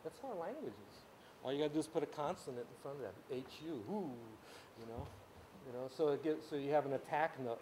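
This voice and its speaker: A man's voice: medium pitch, background noise. A man teaching a casual workshop class on sound, explaining at length with many restarts and fillers. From Ukrainian-speaking background. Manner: explanatory, rambling, many restarts and "you know"s. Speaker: music teacher. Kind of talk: informal workshop lecture. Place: workshop room at a camp.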